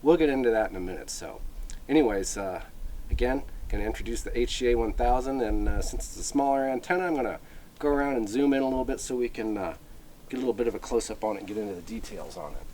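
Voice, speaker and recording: A man giving a casual, unscripted product overview in a halting, conversational way with plenty of filler words. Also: medium pitch, mellow, a little hiss or hum. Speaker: product reviewer.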